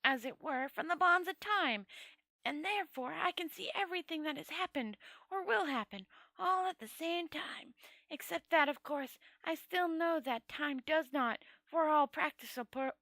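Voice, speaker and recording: Woman putting on a rambling dead old wizard, high-pitched. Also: expressive, normal speed, pushed and bright, dry recording, exaggerated voice. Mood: rambling, musing. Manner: rambling, matter-of-fact, conversational.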